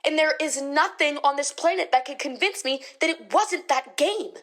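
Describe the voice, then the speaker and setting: A spooked, superstitious female eyewitness played by an actress with a high voice. She talks in punchy, insistent bursts, as in a radio drama interview. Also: normal speed, a bit bright. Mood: excited, insistent. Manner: emphatic, punchy.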